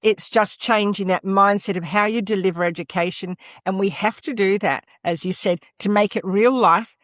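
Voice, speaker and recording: A woman's voice: medium pitch, fairly even intonation, moderate pace, fairly bright tone, no echo, studio quality. An older Australian woman, a former school principal, talking emphatically as a guest in an interview. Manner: emphatic, leans on "absolutely" and "et cetera". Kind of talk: interview conversation.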